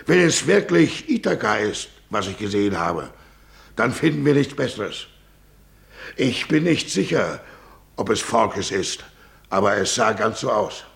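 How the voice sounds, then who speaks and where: An actor playing a seasoned sailor on lookout, calling out in 1950s German radio drama style. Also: male voice, lowish voice, big pitch swings, unhurried, gravelly, fairly bright tone, exaggerated voice. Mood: alert, uncertain. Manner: calling out, projected. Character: seasoned Greek sailor who knows the coast, unsure lookout.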